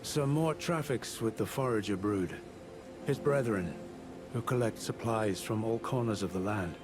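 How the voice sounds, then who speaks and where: An actor playing a courteous, reverent fantasy follower of a demigod in a video game, speaking in formal, archaic phrases. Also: male voice, on the low side, moderate pace, natural voice. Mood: kindly, courteous. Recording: loud music behind the voice. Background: loud music.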